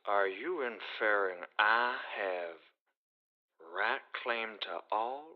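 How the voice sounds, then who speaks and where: An actor playing a haughty, scheming royal son in the underworld, declaiming archaic verse in an audio drama. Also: male voice, on the low side, takes it slowly. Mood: scornful, wary. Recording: dull, lo-fi radio drama sound.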